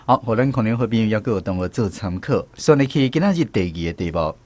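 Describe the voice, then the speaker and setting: A man with a Taiwanese accent and a slightly low voice hosts a radio language segment. He moves things along with short, orderly linking announcements. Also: expressive, fast, a little mellow.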